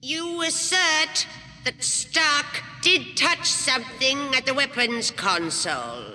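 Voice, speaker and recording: A cold alien judge speaks in a high, piercingly bright voice with slow, imperious weight. It sounds like a television science-fiction clip, ringing with long reverb. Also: female voice, lively intonation, soft background music, exaggerated voice. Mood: stern accusing. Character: cold alien judge pronouncing charges and executions.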